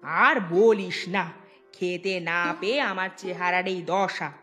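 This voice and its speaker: A woman playing a poor, gluttonous village wife in a cartoon, talking in an expressive, exclamatory way. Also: lowish voice, takes it slowly. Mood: complaining. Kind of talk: Bengali cartoon voice acting. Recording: music bed under the voice.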